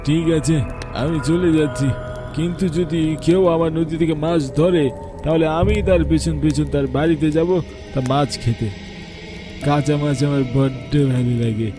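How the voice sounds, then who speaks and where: A man's voice: on the high side, steady tone, moderate pace, over music, natural voice. A man voicing the possessive, vengeful ghost of a river boatman in a horror cartoon, speaking in curt, menacing declarations. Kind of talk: Bengali horror cartoon voice acting.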